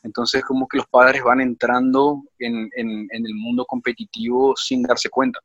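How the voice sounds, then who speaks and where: A man's voice: mid-range voice, flat delivery, normal speed, a bit bright, dry recording, dull audio. A man who coaches swimming at a club, speaking hesitantly with many restarts in an online group discussion.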